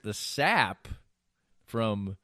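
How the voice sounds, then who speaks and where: A man's voice: lowish voice, big pitch swings, very slow, sharp tone, no echo, studio quality. An older man hosting a casual podcast, telling anecdotes in a rambling way and restarting his sentences mid-thought. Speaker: podcast host.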